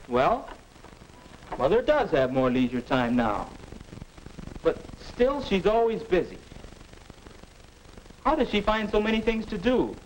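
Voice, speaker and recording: A man's voice: sits high, very animated, measured pace, pauses often. A man playing a restless, doubting American teenage boy in a 1950 educational film, earnest and stagey, on a noisy old soundtrack. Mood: puzzled, moping.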